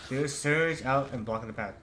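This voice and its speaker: A man's voice: mid-range voice, moderate pace, fairly bright tone, faint music behind. A man giving casual live commentary as a game streamer, informal, blunt and slangy. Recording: noisy stream with game sound behind.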